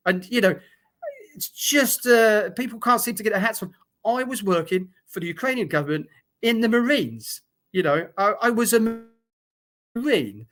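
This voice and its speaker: A man's voice: sits high, animated, normal speed, a bit bright. A middle-aged man from Britain, a former soldier talking frankly as a guest on a video call, with plenty of fillers and restarts. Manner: blunt, frank talk with many fillers and restarts. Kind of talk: conversational interview account.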